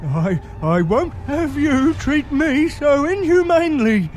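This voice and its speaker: An indignant little captive monkey in an audio drama. The voice is extremely high and swoops about, protesting with pompous, stretched-out words. Music is clearly audible behind it. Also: male voice, big pitch swings, takes it slowly, darkish tone, heavily acted.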